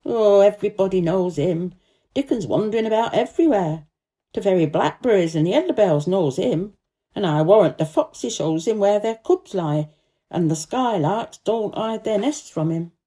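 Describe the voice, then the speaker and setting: A woman's voice: medium pitch, lively intonation, normal speed, warm tone, close to an everyday voice. A woman voicing a surly, blunt old male gardener with a Yorkshire accent in a storybook reading. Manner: blunt, gruff dialect speech.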